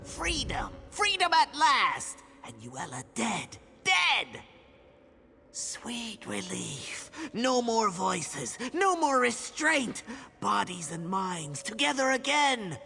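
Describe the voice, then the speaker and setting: An actress playing a gleeful, ravenous crow creature in a video game, theatrical and savoring each word. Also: female voice, on the high side, sing-song, slow pace, creaky, bright, forceful tone, husky, exaggerated voice. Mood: exultant. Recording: long hall-like reverb.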